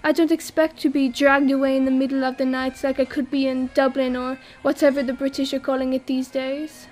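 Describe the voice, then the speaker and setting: A woman's voice: high voice, level tone, a little fast, darkish tone. A young woman from France telling her story in an audio drama, wry and self-mocking, laughing as she talks. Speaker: exile interviewed about a disaster. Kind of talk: acted monologue in an audio drama.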